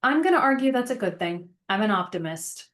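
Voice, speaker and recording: A woman's voice: mid-range voice, big pitch swings, fairly quick. Woman, thirties, interview guest, chatty, reflective, many fillers. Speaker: podcast guest, sales engineering manager. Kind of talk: casual interview conversation.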